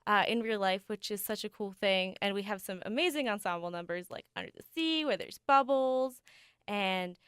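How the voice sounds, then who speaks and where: A woman's voice: medium pitch, expressive, moderate pace, fairly bright tone, smooth, dry recording, studio quality. A young woman, an ice skater raised bilingual in Denmark and the States, answering radio interview questions in an upbeat, eager way with frequent laughs. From United States and Denmark. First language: bilingual English and Danish. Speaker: ensemble ice skater, guest.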